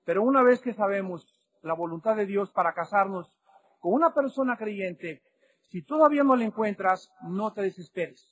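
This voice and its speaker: A man preaching an impassioned sermon that rises to shouts. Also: high-pitched, lively intonation, moderate pace, a little hiss or hum.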